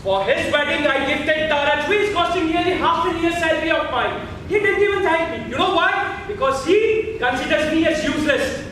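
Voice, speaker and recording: Man playing proud Mughal emperor, very high voice, stern, solemn stage declaiming, recorded from a distance. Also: moderate pace, fairly bright tone, a little hiss or hum. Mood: bitter. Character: stern pious Mughal emperor, proud, wounded younger brother. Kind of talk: stage play acting. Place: indoor theatre stage. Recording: echoey stage hall, distant mic.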